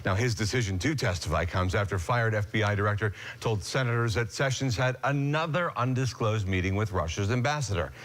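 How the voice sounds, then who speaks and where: A man's voice: lowish voice, a little fast, few pauses, fairly bright tone. An American man working as a morning news anchor, moving between scripted news reading and casual banter.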